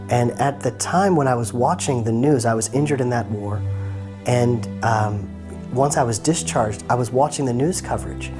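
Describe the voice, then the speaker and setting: A man in his thirties from Atlanta, giving an earnest personal testimony as an interview guest in short fervent phrases. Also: mid-range voice. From United States (Atlanta).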